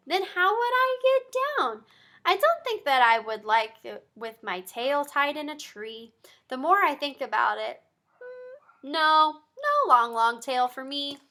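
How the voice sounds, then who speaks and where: A woman reading a story aloud to young children in an expressive, encouraging manner, pausing to chat with the listeners. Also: sits high. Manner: animated, encouraging, asks listeners simple questions.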